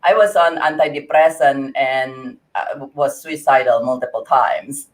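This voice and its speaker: A woman in her forties with an Indonesian accent, a guest in an interview, speaking earnestly with frequent laughs and halting restarts. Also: rumbling low. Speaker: interview guest, grief coach. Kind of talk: conversational interview.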